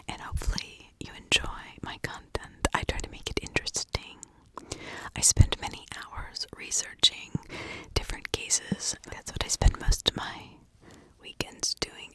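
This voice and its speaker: A woman whispering in an informal ASMR style, telling stories and giving her own opinions in a breathy, conversational way. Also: pushed and bright. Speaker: ASMR true crime storyteller, channel host.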